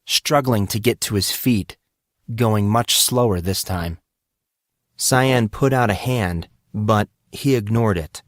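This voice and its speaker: A man's voice: on the low side, no room sound, clean studio sound. A man reading scripted fantasy fiction as a dramatic audiobook narrator.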